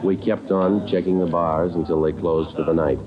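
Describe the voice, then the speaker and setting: An actor playing a terse police detective, clipped and matter-of-fact in hardboiled 1940s radio style. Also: male voice, low-pitched, steady tone, normal speed, crowd noise, close to an everyday voice. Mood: deadpan. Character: terse, businesslike male police detective narrating his case. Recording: old 1940s radio recording.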